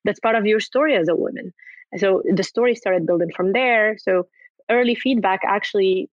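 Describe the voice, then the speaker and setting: A woman's voice: mid-range voice, normal speed, no room sound, highs cut off. A woman in her thirties from Morocco, a company co-founder answering interview questions in a talkative way and leaning on "basically". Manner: talkative, repeats words and fillers like "basically".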